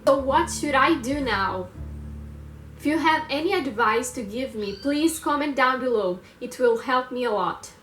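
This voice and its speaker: Woman, twenties, Brazilian Portuguese accent, native Portuguese speaker, reflective vlog monologue, slightly high voice, echoing room. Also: lively intonation, fairly bright tone, slight background noise. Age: 20s. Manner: reflective, earnest, with non-native phrasing and short pauses. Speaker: vlogger. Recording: roomy echo with guitar music. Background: guitar music.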